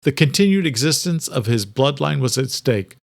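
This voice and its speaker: A man giving a steady, scripted narration of a horror audiobook. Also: mid-range voice, moderate pace, gravelly, no echo, clean studio sound.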